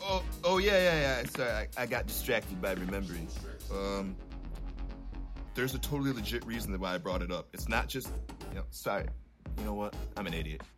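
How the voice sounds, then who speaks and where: A man's voice: lowish voice, expressive, brisk, natural voice. Chummy stoner dealer for a male actor, swooping intonation, slangy and scatterbrained, audio drama with music behind. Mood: rambling, enthused. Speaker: drug dealer. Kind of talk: naturalistic comic audio drama dialogue.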